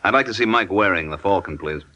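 An actor playing a tough, curt schemer of a crook in a 1950s radio crime drama. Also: male voice, low voice, fast, muffled recording, very noisy, lightly acted. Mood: smooth, explaining. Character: hard male crook, menacing gang schemer named Carl.

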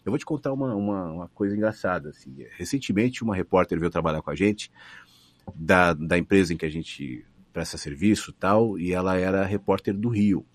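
Husky low-voiced man with São Paulo accent. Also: fairly quick, no echo. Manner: thoughtful, restarts phrases, rises and falls expressively. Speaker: radio broadcaster and voice announcer. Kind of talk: reflective interview answers.

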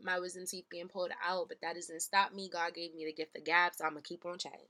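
A woman's voice: fairly low pitch, little pitch movement, fast, noisy background. A woman in her twenties co-hosting a podcast, talking in a casual, chatty and playful way with slang. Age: 20s. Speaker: podcast co-host.

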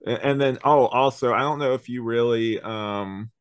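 A man's voice: medium pitch, normal speed, clean tone, no echo. A man hosting a podcast, chatting casually about a review and rambling as he thinks aloud. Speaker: podcast host.

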